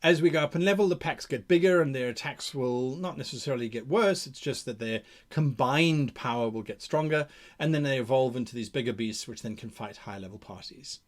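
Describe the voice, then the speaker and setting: A man's voice: mid-range voice, a little fast. A man hosting an advice show for game masters, thinking aloud in a theatrical way and posing questions to himself as he explains. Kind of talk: explanatory monologue on monster creation.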